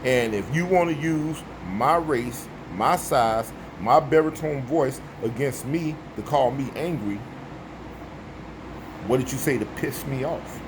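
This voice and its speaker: A man with a baritone voice giving an emphatic, preachy opinion monologue, repeating his points for effect. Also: slow, background noise. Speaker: vlogger.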